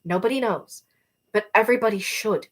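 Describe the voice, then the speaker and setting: Lady reader doing a young nonbinary soldier pilot, earnest and restless, casual talk, slightly high. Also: moderate pace, lightly acted. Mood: agitated.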